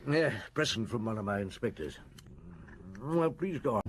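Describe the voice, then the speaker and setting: An actor playing a weary middle-aged police inspector in a radio drama, with a dry, grumbling but patient manner. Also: male voice, mid-range voice, lively intonation, moderate pace, lightly acted. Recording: noisy old radio drama recording.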